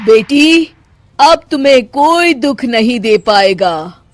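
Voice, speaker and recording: A woman voicing a benevolent, solemn divine voice from the sky in a cartoon, proclaiming slowly. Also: a little high, expressive, no echo. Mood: solemn blessing. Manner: slow, proclaiming. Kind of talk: cartoon story dialogue.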